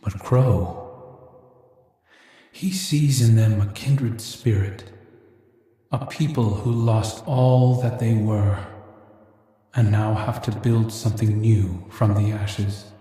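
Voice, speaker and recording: Dark-toned, brooding young prince. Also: male voice, fairly low pitch, fairly even intonation, heavy reverb. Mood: somber. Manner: measured, reflective, intimate. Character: earnest, brooding princely brother, regretful and wry. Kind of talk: dramatic video game monologue.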